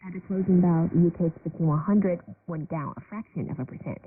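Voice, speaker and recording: A woman's voice: on the low side, brisk. A woman reading a scripted business news bulletin as a presenter, heard on shortwave radio. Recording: noisy, narrowband shortwave radio broadcast.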